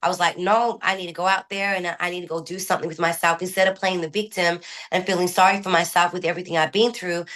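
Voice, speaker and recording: A woman's voice: fairly low pitch, level tone, fast, fairly bright tone. A young woman from Australia with an Australian accent partly shaded by American speech, telling her story candidly as an interview guest. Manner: candid, rambling storytelling with restarts. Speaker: guest musician. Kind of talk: casual interview talk.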